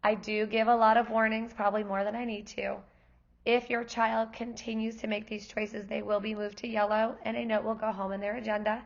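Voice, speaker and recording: A woman's voice: fairly high pitch, level tone, brisk, highs cut off. A woman kindergarten teacher who is losing her voice, explaining class information to parents in a friendly, explanatory way. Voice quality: gravelly, worn voice. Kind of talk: parent night presentation.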